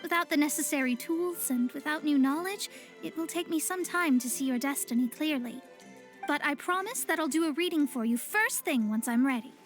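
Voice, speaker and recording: An actress playing a proud, earnest young astrologist in a fantasy game, speaking in a formal, self-assured way. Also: female voice, high-pitched, a little fast, faint music behind, natural voice. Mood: earnest, apologetic.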